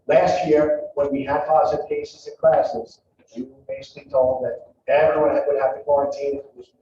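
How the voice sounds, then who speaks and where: A man's voice: a little high, level tone, moderate pace, warm tone, slight background noise. A man, a school district leader, giving a public presentation to families in a conversational way, with many ums and restarts. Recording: echoey hall, far from mic, dull.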